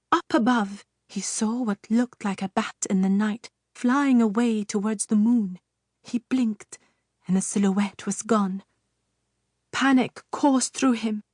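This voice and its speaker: A woman narrating a children's fairytale audiobook with measured, expressive storytelling. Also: on the high side, no echo, clean studio sound.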